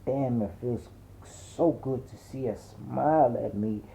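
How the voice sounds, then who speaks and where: A man's voice: medium pitch, slow, creaky, warm tone, a little hiss or hum. A man narrating an audiobook in a dramatic, conversational first-person style with slangy, emphatic delivery. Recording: muffled narrow phone-like audio.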